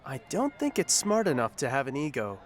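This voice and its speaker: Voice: mid-range voice, wildly swooping pitch, fairly quick. An actor playing an awkward, hesitant, good-natured young adventurer in an audio drama. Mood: skeptical, casual. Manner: awkward, unassuming.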